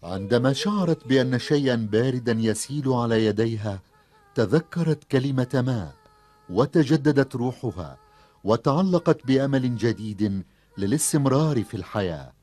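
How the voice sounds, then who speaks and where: A man's voice: medium pitch, lively intonation, normal speed, darkish tone. A man narrating a children's cartoon story in scripted storytelling style.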